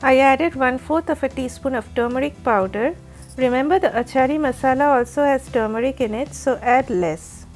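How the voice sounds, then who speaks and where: A woman with a raspy, heavy voice from a flu, giving careful step-by-step cooking instructions as the host of a recipe channel. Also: high-pitched, normal speed, darkish tone, soft background music. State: hoarse from a flu. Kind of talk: recipe voice-over narration.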